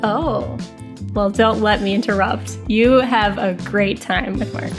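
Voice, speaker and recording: A woman's voice: medium pitch, steady tone, over music. A woman podcast host playing in a tabletop game, playful and teasing. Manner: playful, teasing, quick to laugh.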